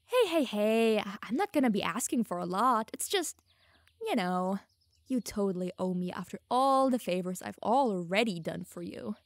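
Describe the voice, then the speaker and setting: A woman's voice: medium pitch, animated, normal speed. A young woman playing a teasing, smug, secretly lovestruck elf mage in an audio roleplay, playful and easily flustered. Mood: amused, teasing. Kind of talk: audio roleplay addressed to the listener.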